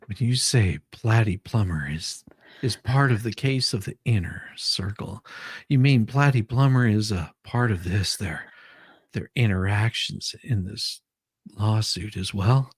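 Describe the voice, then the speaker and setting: A man playing a questioning attorney in an amateur dramatic reading of a deposition, halting and repeating his words. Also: lowish voice, breathy edge, dry recording, studio quality. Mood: questioning, patient.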